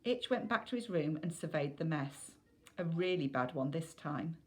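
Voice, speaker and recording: A woman's voice: low-pitched, expressive, moderate pace. A woman reading a children's novel aloud as scripted narration.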